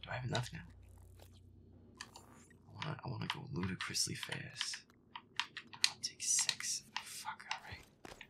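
A man's voice: sits low, big pitch swings, a little slow, gravelly, long pauses, exaggerated voice. Man playing a feisty, whispery, grumbling boyfriend. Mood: annoyed muttering. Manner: exasperated, sweary, sarcastic. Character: feisty werewolf boyfriend grumbling through a scary game. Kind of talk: gameplay roleplay, muttered reactions.

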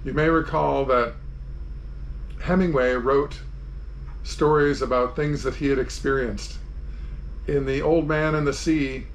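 Background noise sits under the voice of a man in his sixties with a mid-pitched delivery. He hosts an informal sailing vlog and explains things plainly, one step at a time. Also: creaky, a bit bright. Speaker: sailing vlogger and host. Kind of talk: informal narrated travel tour and how-to.

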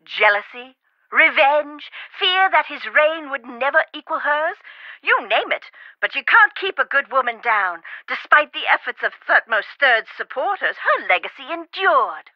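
A woman's voice: sits high, big pitch swings, unhurried, no echo. An actress playing an eccentric, chatty woman scholar in an adventure game, prim and theatrical, fond of elaborate metaphors and calling people dear. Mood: animated. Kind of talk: studio game voice acting. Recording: dry, slightly dull game audio.

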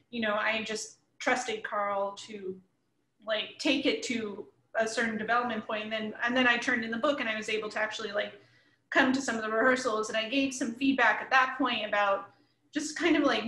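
A woman's voice: on the high side, sharp tone, reverberant, rough recording. A woman in her thirties chatting casually as an interviewed guest on a video call from a room at home. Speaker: interviewed comics creator. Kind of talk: casual panel discussion. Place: living room at home, indoors. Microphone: computer mic at a short distance, video call.

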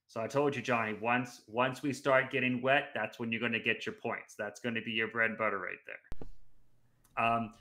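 Bright-voiced man breaking down standings. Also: mid-range voice, steady tone, moderate pace. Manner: talkative, analytical, restarts mid-phrase. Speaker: podcast guest. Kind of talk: casual sports chat. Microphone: headset.

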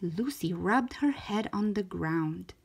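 A woman reading a storybook aloud for young children in an expressive, deliberate way, stretching out key words. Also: mid-range voice, vocal fry, breathy edge. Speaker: children's storybook reader, show host.

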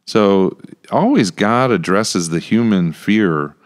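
A middle-aged American man co-hosting a casual podcast, chatty, halting and repeating words as he goes. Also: fairly low pitch, animated. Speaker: podcast co-host, church pastor. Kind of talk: casual Bible discussion podcast. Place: small indoor room with acoustic panels. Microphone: close podcast microphone with headphones.

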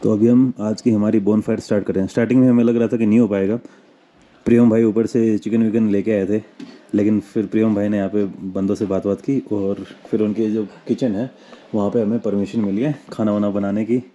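Chatty low-voiced man vlogging from a campsite. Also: level tone, a little fast, warm tone, dull audio, a little hiss or hum. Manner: chatty, calls viewers brother. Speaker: travel vlogger. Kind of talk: casual vlog narration to viewers. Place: campsite at night, outdoors and in a tent.

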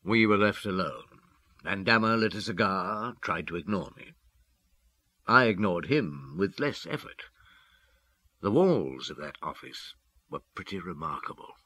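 An actor playing a reflective police detective, recounting a past case in a measured way for a radio drama. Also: male voice, low-pitched, expressive, pushed and bright, husky, dry recording, exaggerated voice. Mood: musing, sombre. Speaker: detective narrator. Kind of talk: old radio drama storytelling.

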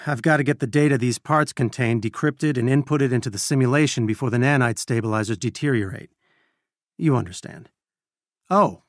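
An actor playing an earnest, brainy scientist and weary resistance leader, giving articulate, measured mission briefings in a video game. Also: male voice, mid-range voice, dry recording, studio quality, lightly acted. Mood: concerned, businesslike.

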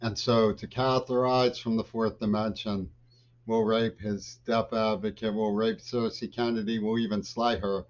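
An older man in a small home office talks to his webcam in a rambling political monologue, jumping between loud bursts and low mutters. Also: flat delivery, moderate pace. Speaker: vlogger. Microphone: webcam at desk. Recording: dull lo-fi webcam audio.

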